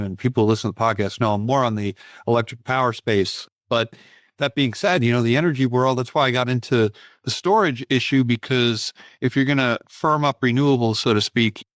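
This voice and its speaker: A man with a middle-pitched voice hosts a podcast interview. He speaks in a conversational, thoughtful, chatty way. The recording is dry and close-miked. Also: fairly quick, dry recording. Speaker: podcast host.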